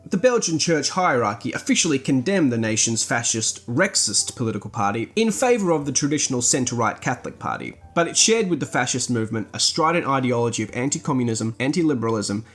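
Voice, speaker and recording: A man delivers a scripted, essay-style narration at a medium pitch. His intonation moves widely up and down, and his phrasing is formal and literary, articulated as a podcast host would for a considered review.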